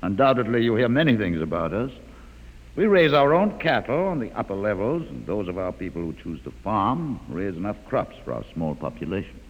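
An actor playing a calm, educated male mutant leader in a 1950s radio drama, measured and quietly ironic. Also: lowish voice. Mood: calm, wry. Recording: old radio drama with long reverb.

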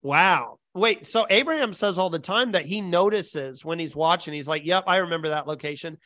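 A man's voice: on the high side, moderate pace, pushed and bright, no echo, studio quality. A man hosting a podcast, chatting casually in an upbeat way and laughing often. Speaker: podcast host. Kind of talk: casual chat.

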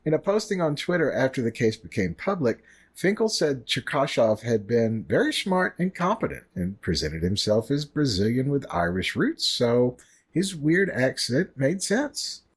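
A man's voice: mid-range voice, big pitch swings, moderate pace, breathy edge. A man co-hosting a podcast, chatting casually with dry, wry remarks. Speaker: podcast co-host.

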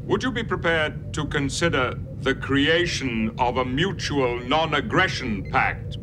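An actor playing a formal, diplomatic male starship captain, speaking in a measured way. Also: medium pitch, expressive, noisy background, natural voice.

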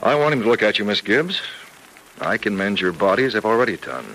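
Radio serial: reassuring, firm male physician, low-voiced with lively inflection, professional and persuasive, on a vintage recording. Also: fairly quick, a little hiss or hum, rough recording. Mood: earnest, insistent. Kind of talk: old-time radio serial drama acting.

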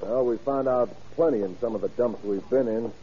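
An actor playing a plain-spoken police lieutenant in a 1930s radio crime drama. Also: male voice, mid-range voice, moderate pace, mellow, a little hiss or hum, lightly acted. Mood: matter-of-fact. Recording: muffled, lo-fi old radio recording.

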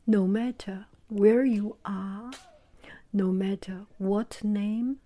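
A woman whispers softly to her viewers as the host of her own channel. Her voice is airy and breathy, and she takes her time over each phrase. She pauses often and hesitates as she talks. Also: mid-range voice, steady tone. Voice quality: soft whisper. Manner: gentle, hesitant, many pauses and fillers. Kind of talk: whispered vlog talk to viewers.

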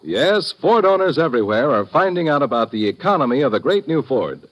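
A man's voice: medium pitch, sing-song, brisk, lo-fi sound. An American man working as a commercial announcer, reading a 1950s radio advertisement.

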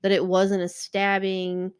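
A woman's voice: mid-range voice, completely flat delivery, measured pace, clear, resonant tone. Giggly woman podcast co-host. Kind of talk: casual true-crime discussion.

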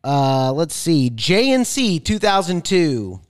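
A man co-hosting a casual podcast, reading listener messages aloud in a playful, expressive way. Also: a little high, slow, clean tone, no room sound, studio quality. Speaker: podcast co-host.

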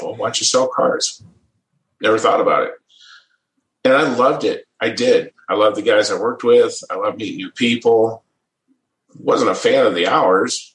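A middle-aged man with a fairly low voice talks in a relaxed, easygoing way and chuckles often. He restarts his phrases as he thinks. His voice bounces around an echoey room. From American Midwest. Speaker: guest. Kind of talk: casual interview storytelling.

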